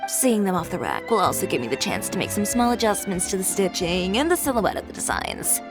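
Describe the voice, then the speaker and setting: Game role: confident young businesswoman and designer, matter-of-fact, mid-pitched, dry firm delivery over background music. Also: sing-song, fairly quick. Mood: cool, brisk. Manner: matter-of-fact, self-assured, dryly firm. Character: confident, brisk, no-nonsense young female fashion designer. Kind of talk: video game voice acting.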